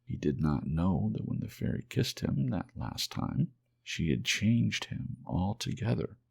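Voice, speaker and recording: A man softly and expressively narrating a children's storybook as an ASMR audiobook. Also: on the low side, big pitch swings, a little slow, vocal fry, slightly rough.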